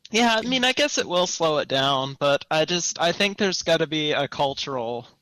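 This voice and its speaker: A young man co-hosting a podcast in casual discussion, opinionated and thinking aloud in a halting way. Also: on the high side, expressive, sharp tone, dry recording. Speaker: podcast co-host. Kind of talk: casual chat, gaming discussion.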